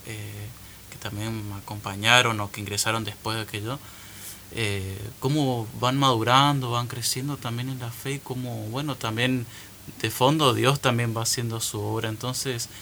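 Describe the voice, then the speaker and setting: Very bright-voiced earnest young man. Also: medium pitch, level tone, normal speed, a little hiss or hum. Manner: earnest, reflective, laughs readily. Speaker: newly ordained priest, guest. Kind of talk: casual radio interview.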